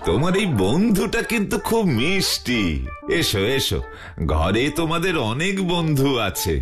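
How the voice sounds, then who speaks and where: A man playing a gentle old grandpa storyteller. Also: on the high side, big pitch swings, measured pace. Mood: affectionate. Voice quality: gentle, patient, affectionate storytelling. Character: kind old male grandfather storyteller, former sailor. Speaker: grandfather figure telling stories. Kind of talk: Bengali cartoon dubbing. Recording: music underneath.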